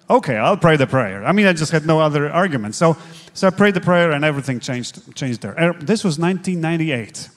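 Polish man telling his story, halting. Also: a little high. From Poland. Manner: earnest, halting, many fillers. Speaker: guest pastor. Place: church stage, seated in armchair. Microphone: handheld mic.

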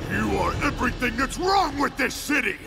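A man's voice: very high voice, very animated, moderate pace, vocal fry, music in the background, heavily acted. A man playing an enraged, hulking, proud crime boss in a video game, bellowing pompously. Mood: furious. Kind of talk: video game cutscene acting.

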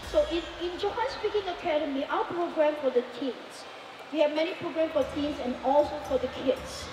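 A woman's voice: high voice, distant mic. A middle-aged woman hosting a stage event, introducing a student and promoting a speaking program. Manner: upbeat, promotional. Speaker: event host, speaking coach. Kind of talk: emcee introduction. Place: indoor mall stage. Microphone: handheld mic, far camera. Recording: echoey mall heard from afar with music.

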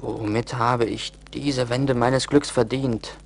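An actor playing a proud, self-pitying young charcoal burner in a German radio play. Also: male voice, mid-range voice, fairly even intonation, takes it slowly, creaky, soft background music. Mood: despairing.

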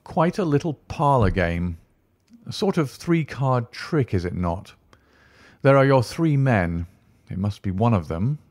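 A man voicing a calm, incisive male detective who speaks in a measured, commanding way. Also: medium pitch, dry recording, clean studio sound.

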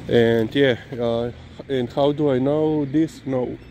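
Male vlogger, Slovenian accent, mid-pitched, very leisurely and rambling delivery, recorded outside on a walk. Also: steady tone, warm tone. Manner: hesitant, halting delivery with frequent "you know". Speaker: dog owner and vlogger. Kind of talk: casual walk-and-talk vlog, rambling. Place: outdoors, on a walk. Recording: clearly noisy outdoor ambience, vehicle sounds.

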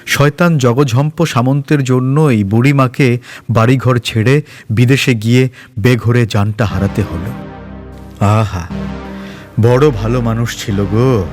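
A man's voice: medium pitch, unhurried, long pauses, music in the background, close to an everyday voice. A man voicing sympathetic, gossipy village workmen lamenting in a mournful manner, in a story reading. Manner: wailing, mournful.

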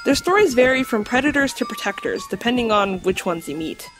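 A woman playing an earnest, scholarly guide to mythical creatures in an audio drama, explaining in a measured, slightly formal way. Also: on the high side, lively intonation, fairly bright tone. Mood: explanatory. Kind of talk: audio drama acting, storytelling. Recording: music under the voice.

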